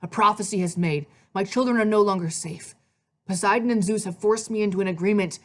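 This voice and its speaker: A woman's voice: medium pitch, brisk, lightly acted. Brooding god of the dead, done by a lady narrator, agitated, pleading, storybook read. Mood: urgent, pleading. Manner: urgent, pleading.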